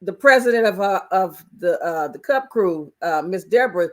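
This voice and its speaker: This is an easygoing man whose voice has gone hoarse, chatting casually as a co-host. He rambles, loops back with plenty of fillers, and sounds relaxed and unhurried. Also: sits high. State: hoarse, losing his voice. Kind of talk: casual chat.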